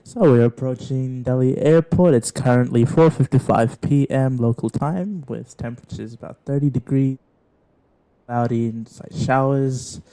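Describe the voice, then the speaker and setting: A man's voice: medium pitch, normal speed, dark tone, lightly acted, low-quality recording. A man playing an airline captain giving a formal cabin announcement.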